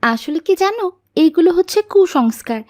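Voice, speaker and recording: A woman's voice: very high-pitched, lively intonation, brisk, a little mellow. A woman voicing an earnest, pious young girl who explains and corrects in an Islamic cartoon. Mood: earnest, instructive. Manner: didactic, explanatory. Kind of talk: Islamic cartoon dialogue, moral lesson.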